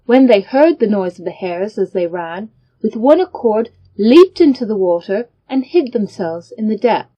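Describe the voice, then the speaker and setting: A woman giving a measured, scripted reading of a fable for English learners, enunciating each phrase. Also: a little high, muffled recording. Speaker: story reader.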